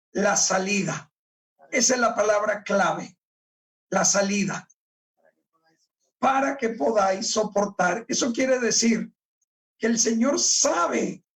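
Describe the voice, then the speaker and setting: Slow, emphatic male pastor, distant mic. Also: extremely high pitch, a bit bright. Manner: slow, deliberate, emphatic, stresses key words. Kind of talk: sermon, reading and explaining scripture. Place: church.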